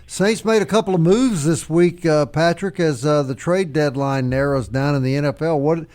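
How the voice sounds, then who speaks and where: Male sports radio host, slightly high-set voice, conversational talk plus live sponsor reads. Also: moderate pace, a little mellow.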